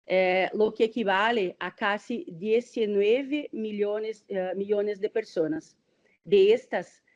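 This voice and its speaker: A woman's voice: medium pitch, a little mellow, dull audio. A woman with a Brazilian Portuguese accent, a doctoral candidate, carefully reading a prepared academic presentation in a non-native language, with small hesitations.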